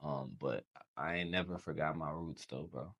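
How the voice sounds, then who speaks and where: A young man from Haiti, a Creole speaker, telling his story as a podcast guest in relaxed slangy chat. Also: extremely low pitch, moderate pace. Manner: relaxed storytelling, slangy, says bro often. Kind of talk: casual chat.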